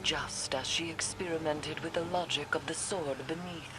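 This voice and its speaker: A woman's voice: low-pitched, fairly even intonation, moderate pace, lightly acted, rough recording. A woman voicing a solemn occult priestess in a video game, ceremonial and portentous. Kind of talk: scripted video game dialogue.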